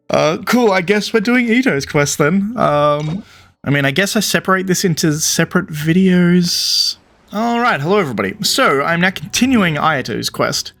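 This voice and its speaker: A man's voice: high voice, fairly bright tone. A man hosting a game playthrough, chatting casually in a rambling, laid-back way. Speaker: gaming video host.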